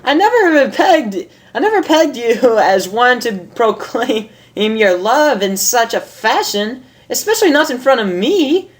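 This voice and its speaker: A woman voicing an elegant young female unicorn dressmaker in a storybook reading, prim and theatrical with affected, drawn-out exclamations. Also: on the high side, very animated, pitched above the performer's own voice. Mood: amused, apologetic.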